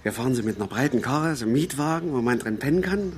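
An actor in a naturalistic German radio drama playing a boastful, dreamy working-class drinker who rambles and insists. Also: male voice, mid-range voice, animated, quick pace, slight background noise, natural voice. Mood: wistful, dreamy. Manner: rambling, insistent, repeats himself.

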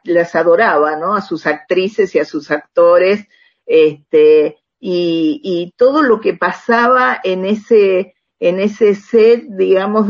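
A middle-aged Argentine woman talking conversationally as an interview guest, often checking in with little tag questions. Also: on the low side. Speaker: radio drama writer, interview guest. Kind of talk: conversational interview, telling anecdotes. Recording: slightly dull lo-fi sound.

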